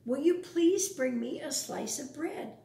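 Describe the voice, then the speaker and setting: Woman acting a mother rabbit, storybook, high-pitched, patient, insistent, echoing room. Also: animated, unhurried, creaky, mellow, distant mic, slight background noise, exaggerated voice.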